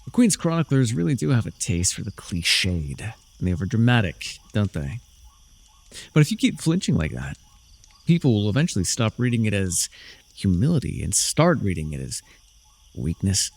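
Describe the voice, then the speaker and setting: Young male dark-sorcerer villain man acting, fantasy audio roleplay: lowish, creaky, sardonic, urbane, teasing. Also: big pitch swings, normal speed, exaggerated voice. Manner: theatrical, teasing, drawling with dry mockery.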